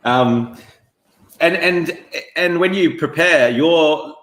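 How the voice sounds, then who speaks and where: A man hosting a casual live-streamed interview on a video call, relaxed and full of ums, calling his guest mate. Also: a little high, takes it slowly. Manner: relaxed, uses fillers like um, calls guest mate. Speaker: host. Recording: echoey room on a video call.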